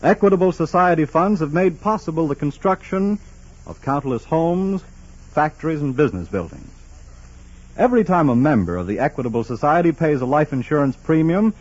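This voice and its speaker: A man's voice: a little high, lively intonation, fairly quick, darkish tone, slightly rough. A man announcing for a sponsor in an emphatic, formal, polished 1940s radio style. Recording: muffled 1940s radio broadcast.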